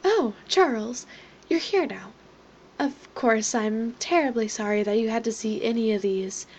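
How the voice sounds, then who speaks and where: A woman's voice: fairly high pitch, a little slow, a little mellow, slight background noise. A woman playing a cold, ominous figure who is formal, composed and politely apologetic in a horror audio drama, on a slightly dull microphone.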